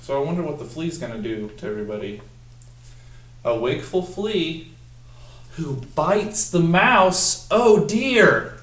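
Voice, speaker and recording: A young man doing an instructional picture-book read-aloud in a deliberate manner, drawing out the text and putting questions to young listeners. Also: on the high side, expressive, fairly bright tone. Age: young adult. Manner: deliberate, instructional, drawn-out when reading the text. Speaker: student teacher practicing a read-aloud. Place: small room at a table, indoors. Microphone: camera mic a few feet away. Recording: roomy echo, distant microphone.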